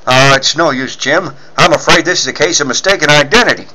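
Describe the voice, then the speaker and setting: A man voicing a brisk, fatherly, blustery newspaper editor in 1940s radio serial style. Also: a little high, sharp tone, poor audio. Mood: resigned.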